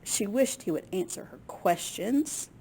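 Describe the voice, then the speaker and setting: Slow, animated woman reading a storybook. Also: mid-range voice, gravelly, slight background noise. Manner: expressive, unhurried, with small asides to listeners. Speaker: children's storytime reader at a library. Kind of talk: expressive picture book read-aloud for children.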